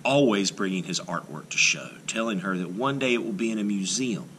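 Male storybook reader, lowish and forceful, dramatic phrasing with deliberate pauses. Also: expressive, a little hiss or hum. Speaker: art teacher reading a picture book aloud. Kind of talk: expressive children's picture book read-aloud.

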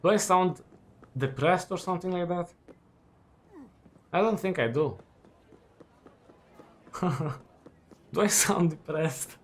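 A man in his twenties chatting casually while playing a game, relaxed and rambling with lots of fillers and restarts. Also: sits high, slow pace, long pauses. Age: 20s. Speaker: student gaming while chatting. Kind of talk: casual chat over gameplay.

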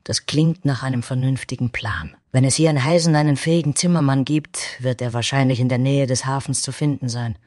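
Man voicing a calm, higher-pitched warrior woman. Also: fairly quick, few pauses, no room sound, studio quality, natural voice. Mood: reasonable. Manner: soothing, earnest, direct. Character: calm, sensible female warrior adventurer.

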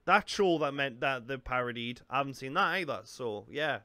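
A man's voice: mid-range voice, lively intonation, moderate pace, sharp tone, no echo. A man in his thirties with a Geordie accent, giving casual off-the-cuff reaction commentary into a close microphone. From North East England. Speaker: reaction video host. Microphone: large close studio microphone.

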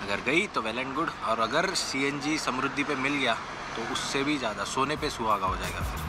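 A man's voice: medium pitch, normal speed, loud music behind the voice. A young man casually narrating a road-trip vlog from inside his car and at roadside stops, explaining routes and tips to his viewers. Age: young adult. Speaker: travel vlogger. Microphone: small camera held or mounted close. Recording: road and wind noise behind.

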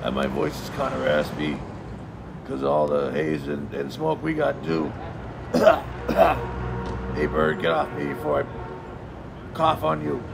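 A man voicing a male South Dakota state piece from a puzzle game, with a raspy, coughing voice. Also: medium pitch, sing-song, slow, pauses often, noisy background, pitched below the performer's own voice, full character voice. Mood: hoarse. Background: game music.

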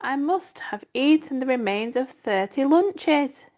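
Storybook rat read by a woman: husky, hoarse, bloated and smug, with snarled, drawn-out lines. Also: high-pitched, expressive, darkish tone, pitched above the performer's own voice. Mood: gloating. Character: gloating greedy male rat, husky and stuffed.

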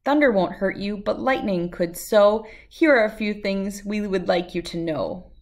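A middle-aged woman reads a children's picture book aloud at a medium pitch. Her delivery is measured and follows the rhyme with a sing-song lilt.

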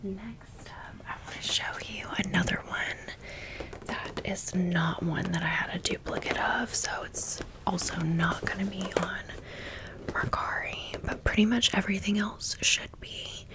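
A woman hosting ASMR in a breathy, unvoiced whisper, chatting informally and gently as she shows off items. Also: medium pitch, measured pace. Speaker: ASMR video host. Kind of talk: whispered ASMR product show-and-tell.

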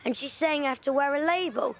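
A thoughtful young evacuee girl from London, as an actress voices her in a radio play. Her voice sits high, and she tells her story slowly and reflectively. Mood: recalling. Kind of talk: audio drama acting.

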